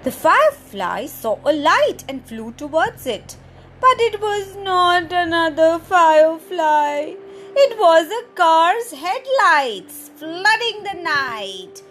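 A woman with a high, very expressive voice that swoops up and down as she reads a picture book to children. She takes her time, in a slow, sing-song storytime manner, with faint noise in the background. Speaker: children's storyteller podcast host.

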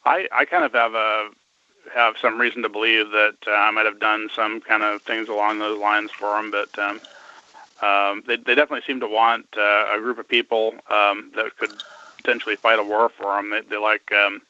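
A man in his fifties, a guest on a radio interview, talking haltingly with many fillers and restarts. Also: on the low side, little pitch movement, pushed and bright, clear, resonant tone, no room sound. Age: 50s. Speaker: guest researcher. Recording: dull lo-fi radio sound.

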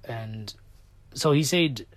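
A man's voice: slow, no echo. Blunt opinionated man, dry close sound. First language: Somali. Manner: opinionated, swings from loud outbursts to low muttered asides. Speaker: podcast host. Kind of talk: solo opinion monologue.

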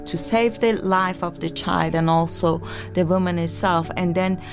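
A woman's voice: on the low side, normal speed, music in the background. A woman from Iran whose first language is Farsi, a midwife guest in an interview, talking hesitantly with many fillers and restarts. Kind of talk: interview conversation.

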